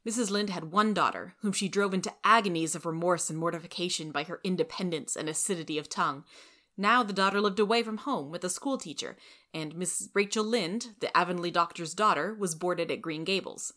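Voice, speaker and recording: A woman's voice: fairly low pitch, brisk, bright, forceful tone. A woman reading a scripted story aloud as its narrator.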